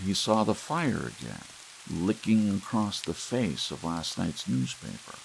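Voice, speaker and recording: A man reading a short story aloud in a slow, soft, gentle ASMR style, with long pauses between phrases. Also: low voice, creaky, low-quality recording. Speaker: audiobook narrator. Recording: faint hiss and soft rain behind.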